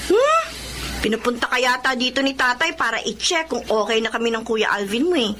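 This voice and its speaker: A guarded, skeptical Filipino wife in a radio drama. Her voice sits high and swings expressively, with an exasperated edge. Clear noise runs behind it. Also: big pitch swings, a little fast, sharp tone. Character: hurt, skeptical wife and elder sister.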